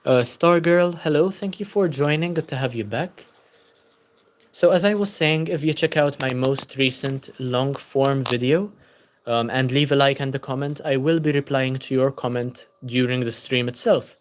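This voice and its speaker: A man's voice: medium pitch, moderate pace. A tired young man hosting a casual book-channel livestream, chatting and answering viewer comments.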